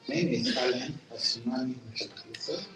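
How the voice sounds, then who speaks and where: A man's voice: mid-range voice, over loud music. Earnest man, distant roomy mic. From Congo. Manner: earnest, halting, repeats words. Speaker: program participant. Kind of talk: testimonial interview.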